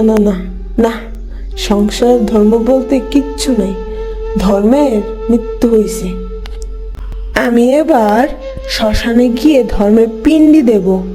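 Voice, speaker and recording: A woman voicing a wronged, grieving old mother in a folk-tale cartoon, lamenting plaintively. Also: fairly high pitch. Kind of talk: Bengali moral folk-tale cartoon dub.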